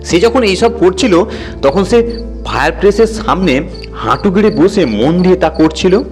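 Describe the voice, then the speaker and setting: A man's voice: sits high, lively intonation, moderate pace. A man reads a scripted horror story as a dramatic narrator. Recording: music bed behind the voice. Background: music.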